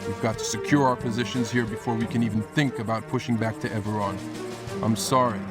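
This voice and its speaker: A man's voice: fairly low pitch, fairly even intonation, fairly quick, loud music behind the voice, lightly acted. An actor playing a brusque but reasonable male army commander in a game cutscene, authoritative and dismissive. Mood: commanding. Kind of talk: scripted game cutscene dialogue.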